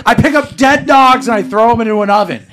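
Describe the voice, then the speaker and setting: A man's voice: very high voice, fast, a loud crowd. A man co-hosting a podcast with casual, profane banter, ranting in comic exasperation and repeating his phrases. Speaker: podcast co-host.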